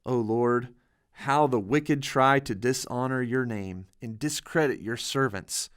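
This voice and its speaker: Guy doing a faithful old man from a bible storybook, steady and principled, slow talker. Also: mid-range voice, close to an everyday voice. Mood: resigned, devout. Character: elderly, devout, steadfast Hebrew official.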